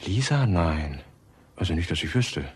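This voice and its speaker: Evasive, hesitant man, crime radio drama; heavily husky voice, uneasy, slightly low. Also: big pitch swings, normal speed, heavily acted. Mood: shaken. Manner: hesitant, guarded. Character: evasive, uneasy man, mother's boy under suspicion.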